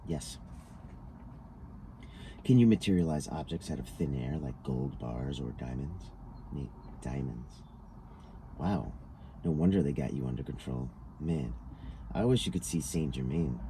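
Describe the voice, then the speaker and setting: A man's voice: very deep voice, lively intonation, unhurried, long pauses, dark tone, poor audio. A man reading a scripted interview dialogue aloud, taking each speaker's lines in turn. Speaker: reader of a written transcript. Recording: noticeable background noise.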